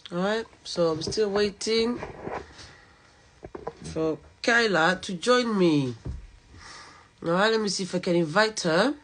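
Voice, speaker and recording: A woman's voice: mid-range voice, takes it slowly, pauses often, slight background noise, rough recording. A woman with a French accent, hosting a casual live podcast over a video call while getting over a cold. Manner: chatty, warm greetings, lots of "okay" and "all right" fillers. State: recovering from a cold. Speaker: podcast host.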